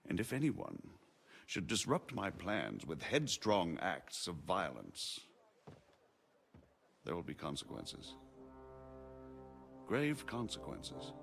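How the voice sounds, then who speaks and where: Haughty, low-voiced nobleman. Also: wildly swooping pitch, creaky, a bit bright, faint music behind, heavily acted. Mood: stern warning. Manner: formal, measured, eloquent. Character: shrewd, haughty noble margrave, smooth political schemer. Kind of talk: dramatic video game acting.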